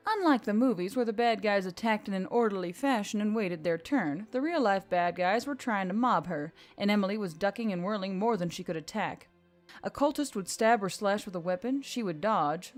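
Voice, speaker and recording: A woman reading scripted action fiction as an audiobook narrator. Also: medium pitch. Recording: background music under the voice.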